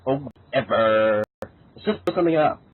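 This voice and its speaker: A man's voice: medium pitch, expressive, moderate pace, slight background noise, close to an everyday voice. A man playing a reckless, flippant, eager man in an amateur comedic audio drama. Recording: tinny phone-like lo-fi sound.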